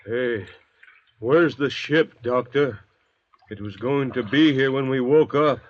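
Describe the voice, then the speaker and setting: Actor as surly, slow-talking young convict. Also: male voice, medium pitch, close to an everyday voice. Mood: defiant, resentful. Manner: blunt, sneering, demanding. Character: surly, brutish, distrustful young convict, defiant and hostile. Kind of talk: old-time radio drama acting. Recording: dull vintage radio recording.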